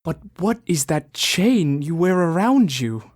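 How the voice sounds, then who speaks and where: A man's voice: fairly high pitch, very animated, takes it slowly, a little mellow, exaggerated voice. A cranky old miser in a radio play, voiced by an actor. He scoffs and doubts in a querulous tone, and turns wheedling and pleading when he gets frightened. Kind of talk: radio drama acting.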